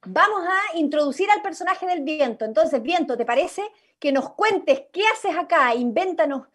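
A young woman with a Chilean accent and a high-pitched voice. She guides a group informally and encouragingly, speaking from indoors over a video call. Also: expressive, moderate pace. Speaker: radio theatre workshop leader. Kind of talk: informal guiding and instruction.

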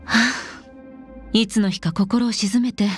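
An actress voicing a gentle, caring, mature woman in a game, soothing and concerned. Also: medium pitch, fairly even intonation, unhurried, faint music behind, natural voice. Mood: concerned, tender. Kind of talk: scripted game character voice lines.

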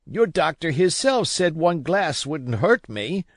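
A man voicing a weak, feverish old sea captain who curses and pleads in rough sailor talk. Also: fairly high pitch, sing-song, takes it slowly, no room sound, studio quality, voice pushed up, heavily acted. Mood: desperate. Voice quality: feeble, wheedling.

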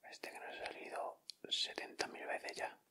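Guy from spain w spanish accent doing asmr, all whispery and bright, little casual murmured comments. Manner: soft, unhurried, murmured short remarks. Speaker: ASMR video host. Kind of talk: whispered ASMR, casual commentary while opening sticker packs.

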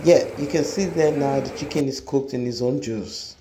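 A woman's voice: a bass voice, moderate pace, noisy background. A middle-aged woman hosting a home cooking demonstration, explaining each step to viewers in her kitchen. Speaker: cooking show host.

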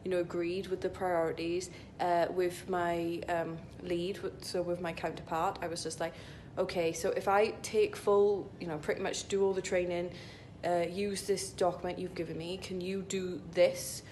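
A young woman vlogs in a chatty, rambling way, with plenty of filler "likes". Her voice sits a little low. It is recorded on a phone at arm's length in a locker room, with faint rumbling noise underneath. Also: little pitch movement, moderate pace, hardly any pauses, a little mellow. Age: young adult. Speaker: vlogger, IT consultant. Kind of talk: casual vlog chat to camera. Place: indoor gym locker room.

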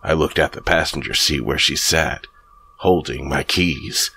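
A man narrating a first-person horror story in a slow, brooding scripted read. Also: very deep voice, sharp tone, slight background noise.

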